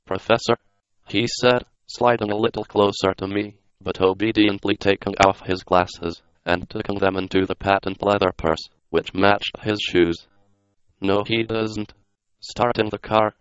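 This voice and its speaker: A computer-generated man's voice reads a story aloud mechanically, without drama. It is somewhat low and very smooth. The recording is dry, with no room sound. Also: no echo, dull audio. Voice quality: synthetic computer voice. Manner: flat, mechanical reading. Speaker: story narrator. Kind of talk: non-dramatic scripted narration.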